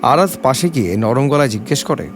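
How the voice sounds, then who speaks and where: A man's voice: medium pitch, expressive, a little fast, a little hiss or hum. A man giving a dramatic scripted narration of an audio story.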